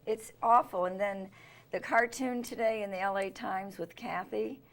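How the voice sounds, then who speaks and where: Gravelly-voiced, opinionated etiquette expert. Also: female voice, medium pitch, fairly even intonation, moderate pace. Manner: emphatic, opinionated, laughs easily. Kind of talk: casual studio chat. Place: TV studio.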